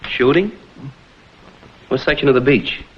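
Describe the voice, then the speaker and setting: An American actor playing a cool, wisecracking private investigator in a vintage detective drama, with clipped, deadpan delivery. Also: male voice, mid-range voice, a little slow, lightly acted. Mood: probing. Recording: muffled old film soundtrack, noisy.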